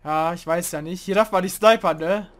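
A young man hosting a casual let's play, chatting and grumbling loudly about teammates as he plays. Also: sits high, fairly quick. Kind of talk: casual gaming commentary.

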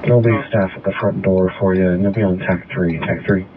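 A man dispatches emergency units in a low voice over two-way radio. The sound is tinny and narrow like a scanner, with clear noise behind his terse phrases. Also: fairly even intonation, a little fast.

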